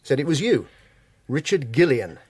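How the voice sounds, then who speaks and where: A blunt, knowing detective inspector in a radio drama. His husky voice moves widely in pitch as he speaks in a deliberate, colloquial way, recorded close and dry. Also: very animated, a little mellow, no echo. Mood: grave, probing.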